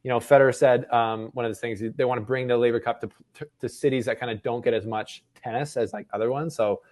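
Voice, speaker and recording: A man's voice: medium pitch, steady tone, fast talker, darkish tone. A man co-hosting a casual sports chat in an upbeat, slangy way. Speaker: sports show co-host.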